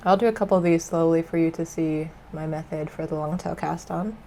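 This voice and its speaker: A woman with a stuffy, cold-affected voice, explaining a knitting tutorial in a relaxed way with frequent ums. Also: low-pitched, a little fast, a little hiss or hum. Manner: relaxed, many ums and uhs. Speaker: knitting instructor.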